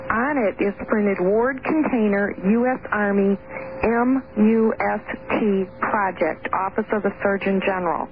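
A woman's voice: mid-range voice, slight background noise. A woman from Illinois calling into a radio show by telephone. She talks eagerly and spells things out letter by letter. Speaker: caller. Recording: narrow, tinny phone line.

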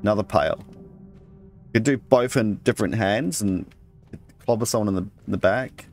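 A man's voice: fairly low pitch, normal speed. A man hosting a let's play, casually thinking aloud and reading game text as he goes. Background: game music.

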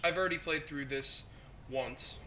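A young man with the flu commentates on a game he is playing, chatting casually, swearing and reacting out loud to what happens on screen. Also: fairly high pitch, normal speed. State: sick with the flu, hoarse. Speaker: gaming host. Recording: noisy home mic recording.

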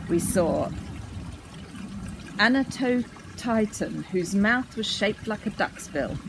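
A woman in her sixties reading a children's story aloud outdoors in a garden. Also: medium pitch. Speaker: storybook reader. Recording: faint outdoor background noise.

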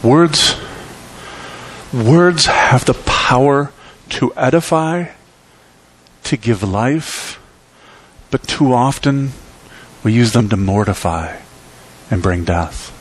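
A middle-aged man preaching in a church, speaking from a lectern with emphatic, rhythmic delivery that swings between loud peaks and quiet drops. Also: lively intonation, takes it slowly, pauses often, slight background noise, poor audio. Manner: emphatic preaching with sweeping pitch and volume swings. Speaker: pastor. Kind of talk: sermon. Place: church sanctuary.

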